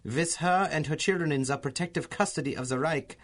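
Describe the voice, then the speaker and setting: An actor playing a gloating Nazi professor with a German accent, pompous and mock-courteous in a radio drama style. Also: male voice, a little high, a little fast, close to an everyday voice. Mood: gloating menace.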